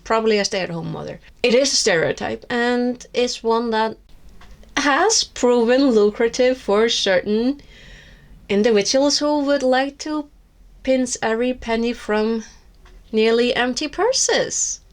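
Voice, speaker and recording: A woman's voice: fairly high pitch, a little slow. A woman from Iceland with an Icelandic accent, whose first language is Icelandic, candidly reviewing books on her vlog in a wry, rambling way with many restarts. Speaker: book vlogger. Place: seated indoors with books on her lap.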